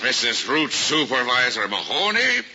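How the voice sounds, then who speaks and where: Befuddled henpecked husband, old-time radio. Folksy, stammering, hugely animated pitch, muffled recording. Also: male voice, moderate pace. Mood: weary, distracted. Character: flustered, henpecked working-man husband, easily bewildered. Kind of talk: 1940s-50s American radio acting. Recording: dull old radio broadcast, faint music.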